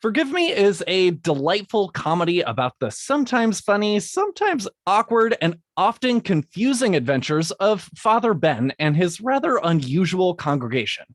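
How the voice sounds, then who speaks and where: A man with a slightly high voice reads his prepared lines as a guest composer. He breaks off now and then to restart a sentence. The sound is very dry and close, with no room at all. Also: very animated, moderate pace, hardly any pauses, dry recording. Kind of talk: recording a podcast segment with retakes.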